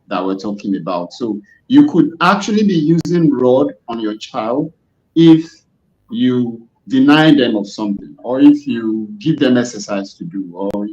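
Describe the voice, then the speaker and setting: A man's voice: medium pitch, darkish tone. A man casually hosts and moderates a live discussion over an online video call. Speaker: host. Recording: dull, lo-fi internet call audio.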